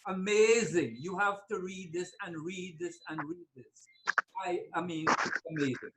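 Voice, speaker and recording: A woman's voice: fairly low pitch, measured pace, very noisy. A woman hosting an event who gushes with effusive praise after a poem. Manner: gushing, effusive.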